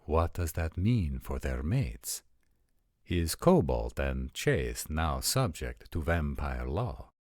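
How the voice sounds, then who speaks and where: A man voicing a stern, formal old vampire prince in a measured roleplay audio drama. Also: extremely low pitch, big pitch swings, a little mellow, no room sound, clean studio sound, full character voice. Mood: grave, authoritative.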